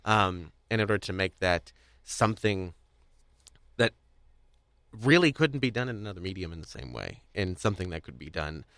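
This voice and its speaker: Low-voiced man, halting podcast host. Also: animated, a bit bright, no room sound, clean studio sound. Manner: halting, rambling, searching for words. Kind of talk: conversational podcast interview.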